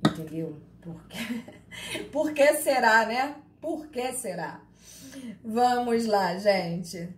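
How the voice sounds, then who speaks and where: A woman's voice: on the low side, a little hiss or hum. Chatty Carioca woman teaching law. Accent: Carioca Portuguese. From Rio de Janeiro, Brazil. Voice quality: chatty and expressive, teasing students by name. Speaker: law lecturer. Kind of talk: live online class, reading chat questions. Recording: echoey room with faint ambience.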